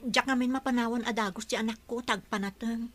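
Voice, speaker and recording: A woman's voice: fairly high pitch, fairly even intonation, a little fast, fairly bright tone, faint music behind, lightly acted. An actress playing a humble, worried mother devoted to her child in a radio drama, with an Ilocano Filipino accent.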